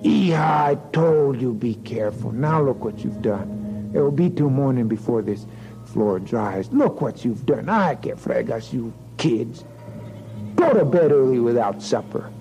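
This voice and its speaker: A man's voice: lively intonation, moderate pace, over music, natural voice, rough recording. A man voicing a stern widowed mother who scolds her children, with a New Mexican accent. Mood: scolding. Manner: sharp scolding, high strained voice.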